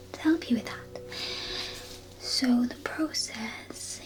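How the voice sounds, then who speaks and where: A woman plays a brain-eating zombie doctor in an ASMR roleplay. The whisper is slightly high and unhurried, full of hesitant, rambling asides. The temper is cheeky and quick to take offence. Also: poor audio. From Australia.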